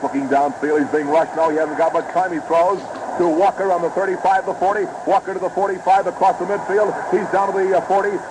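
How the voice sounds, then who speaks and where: An American man calling football play-by-play on 1950s radio in a formal, detailed and dramatic manner, on a noisy vintage broadcast recording. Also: fairly high pitch. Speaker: sports radio play-by-play announcer.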